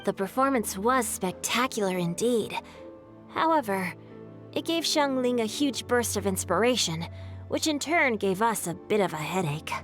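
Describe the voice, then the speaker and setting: A woman voicing a polite, poised, gently teasing young man in a game, with refined, formal phrasing. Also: medium pitch, lively intonation, normal speed, lightly acted. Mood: wry. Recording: voice over background music.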